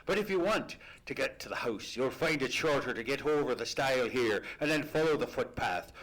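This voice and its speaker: A man's voice: mid-range voice, expressive, brisk, fairly bright tone, low-quality recording. A man playing a helpful country coach driver with rustic dialect phrasing in a live radio play. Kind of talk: live old-time radio play acting.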